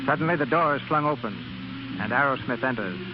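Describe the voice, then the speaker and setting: A man's voice: mid-range voice, a little fast, very noisy. A man hosting and narrating a 1930s radio theater program from a script. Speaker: radio show host and narrator. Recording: muffled 1930s radio recording with music.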